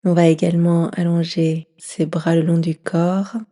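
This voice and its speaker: A woman leading a guided yoga nidra meditation, speaking softly and soothingly and often trailing into a breathy whisper. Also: on the low side, flat delivery, a little slow. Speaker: yoga nidra teacher guiding a session.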